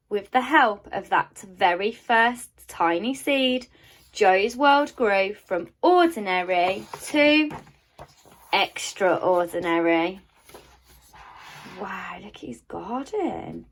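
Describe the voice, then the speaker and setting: Woman reading expressively, slow and animated. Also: mid-range voice. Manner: expressive storytelling with deliberate pauses and emphasised words. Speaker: primary school teacher reading to pupils. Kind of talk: children's picture book read-aloud.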